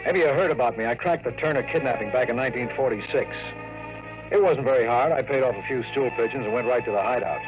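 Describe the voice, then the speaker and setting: An American actor playing a cocky, wisecracking private investigator in a 1950s radio drama, with dry, slangy tough talk. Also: male voice, medium pitch, quick pace, lo-fi sound, music in the background, lightly acted. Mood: cocky narrating. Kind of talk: 1950s radio drama acting, hardboiled narration. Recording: noisy vintage 1950s radio recording.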